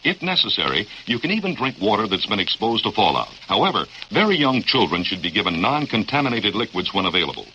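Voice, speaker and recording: A low-voiced, forceful American man delivers a formal, instructive public service announcement with lively inflection. It sounds like a dull mid-century radio recording. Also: fast, few pauses. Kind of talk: mid-century scripted civil defense announcement. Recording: dull, faintly noisy archival radio recording.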